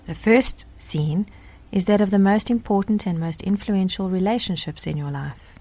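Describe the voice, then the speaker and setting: A woman narrating a guided meditation as the guide, speaking in a gentle, measured way with deliberate pauses. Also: medium pitch, faint music behind.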